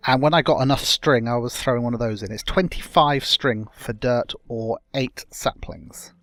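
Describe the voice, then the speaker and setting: A young man hosting a casual gameplay video, chatting and rambling through his progress with a slightly croaky voice. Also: mid-range voice, normal speed, fairly bright tone, no echo. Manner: rambling, self-correcting, chatty. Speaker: let's play host.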